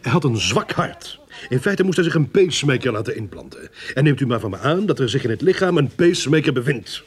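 A man's voice: medium pitch, sing-song, breathy edge. An actor playing a smug, sarcastic police inspector in a radio play, mocking and condescending as he speaks. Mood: scornful. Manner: mocking, condescending, deliberate taunting. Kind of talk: Dutch-language radio play acting.

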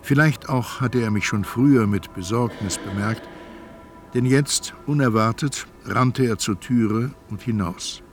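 A man playing a solemnly resigned, doomed fat man in a literary radio drama, speaking in a deliberate, formal, carefully articulated way. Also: mid-range voice, faint music behind, close to an everyday voice. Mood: measured recollection.

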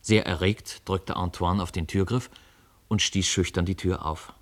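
A man narrating a literary radio play as a scripted storyteller, measured and articulate. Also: low voice, steady tone.

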